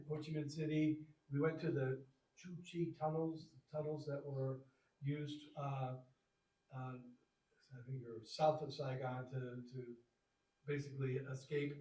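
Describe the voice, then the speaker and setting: Halting man presenting in an echoey room. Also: medium pitch, darkish tone. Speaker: presenter of a travel slideshow. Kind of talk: informal slide talk to a group. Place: echoey room, recorded from a distance. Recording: echoey room, distant microphone.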